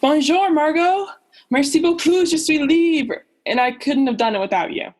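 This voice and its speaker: A young woman acts a self-absorbed French oceanographer, a man with a high, light voice. The manner is breezy and dismissive, suited to radio comedy-drama. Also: normal speed, a little mellow, natural voice. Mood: relieved, exuberant. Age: young adult. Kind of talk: student radio play acting.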